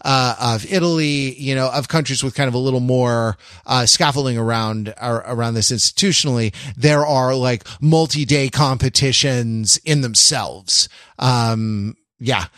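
Male podcast panelist, medium-pitched, casual but analytical, halting delivery with repeated phrases, dry sound. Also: fairly bright tone, clear, resonant tone, dry recording.

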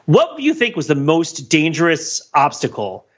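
A middle-aged man chatting casually as a podcast co-host in an animated, conversational way, on slightly lo-fi audio. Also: fairly high pitch, normal speed. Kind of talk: casual chat.

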